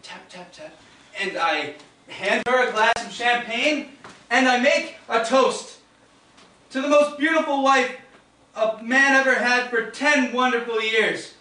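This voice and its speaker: A man acting a flustered, tipsy husband in a comic stage play. His voice is very high and bright, theatrical and excitable as he spins his tale. It rings around a very echoey stage. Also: recorded from a distance, exaggerated voice. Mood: rapturous. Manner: theatrical, halting then excitable storytelling. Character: flustered, tipsy husband improvising a tall story to police. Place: indoor stage of a small theatre.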